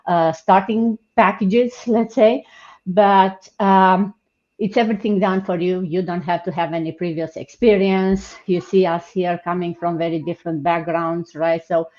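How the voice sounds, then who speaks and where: A woman hosts a live online business panel in a relaxed, chatty way. Her voice sits fairly low. The video call audio is muffled and lo-fi. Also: unhurried. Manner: relaxed, chatty, with filler words. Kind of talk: casual presenting. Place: home, in Ontario.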